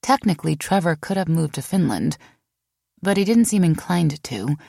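A woman reading a memoir as an audiobook narrator, in a measured, expressive storytelling manner. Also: sits low, creaky, dry recording, studio quality.

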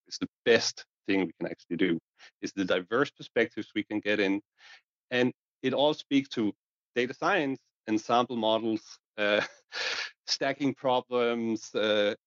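Lively low-voiced man on a panel. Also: no echo. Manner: animated, repeats words as he builds a point. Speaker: panel guest. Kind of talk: conversational panel discussion. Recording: dull lo-fi audio.

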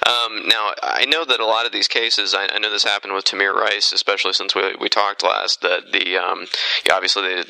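A man hosting a podcast interview in a conversational style, with halting, hesitant phrasing. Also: fairly low pitch, flat delivery, gravelly, bright, forceful tone, no room sound, low-quality recording.

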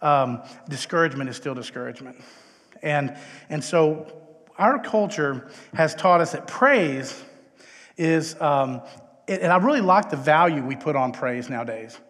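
A man's voice: on the high side, lively intonation, measured pace, a bit bright. A middle-aged man with a Texan accent giving an informal, self-deprecating chapel talk as a conversational guest speaker. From Texas, United States. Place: large indoor chapel, live talk. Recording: echoey hall with long reverb.

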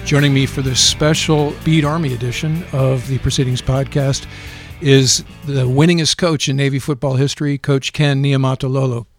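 Gravelly middle-aged podcast host. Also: male voice, mid-range voice, steady tone, moderate pace, few pauses, soft background music. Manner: chatty, friendly questioning. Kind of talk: podcast interview.

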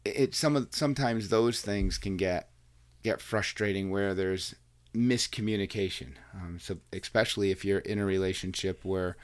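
A man's voice: deep voice, moderate pace. A man hosting a podcast, chatting with his wife in an earnest, rambling way full of restarts. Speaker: podcast host and coach. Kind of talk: casual chat. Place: home recording room with wife. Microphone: plugged into recording software.

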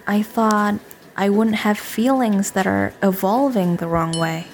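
A flustered young woman with a crush , acted by a woman, speaks slowly and hesitantly. She is earnest, apologetic and hard on herself, in a naturalistic audio drama with faint noise behind her. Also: mid-range voice, lightly acted. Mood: anxious, confessing. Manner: hesitant, nervous, earnest confession. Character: flustered smitten young woman, apologetic, self-deprecating, working late.

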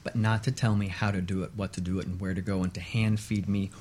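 A man speaking as an expert guest on a radio talk show, explaining in a measured, emphatic way and repeating points for stress. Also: low voice, little pitch movement, a little mellow, a little hiss or hum.

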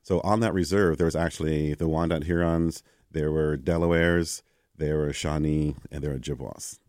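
Low-voiced man telling stories animatedly. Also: moderate pace, no room sound, studio quality. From Canada. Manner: animated, acts out quoted exchanges. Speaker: guest. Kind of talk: conversational interview storytelling.

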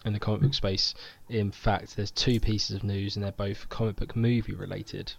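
Male podcast host, low and gravelly, chatty, reads news off his notes. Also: level tone, normal speed, lo-fi sound. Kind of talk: casual podcast chat.

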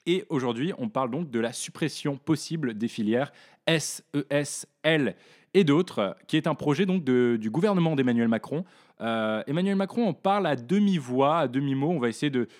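Halting young man hosting a podcast. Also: medium pitch, darkish tone. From northern France. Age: young adult. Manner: halting, many hesitations and restarts. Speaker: podcast host. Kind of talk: casual podcast discussion. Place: living room.

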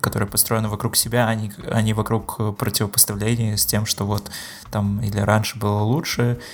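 A man's voice: lowish voice, level tone, a little fast. A man co-hosting a podcast in casual chat, hesitant, with many filler sounds. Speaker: podcast co-host.

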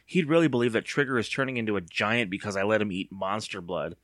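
A man's voice: fairly low pitch, fast, fairly bright tone, no echo, clean studio sound, lightly acted. A man voicing an anxious, impatient young boy in an audiobook, with a whiny, sarcastic, exclaiming manner. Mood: bitter, frustrated. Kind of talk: audiobook character voice.

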